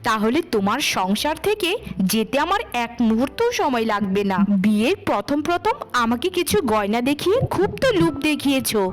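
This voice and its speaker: A woman's voice: on the high side, big pitch swings, a little fast. A woman voicing a vain, greedy young wife in a cartoon, complaining and indignant. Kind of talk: cartoon voice acting for children's moral story.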